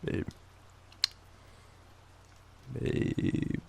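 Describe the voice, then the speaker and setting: A young Australian man with a cold, coughing now and then, murmuring softly and teasingly in an intimate boyfriend roleplay. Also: drawn-out words, a little hiss or hum. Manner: soft, drowsy, teasing murmur.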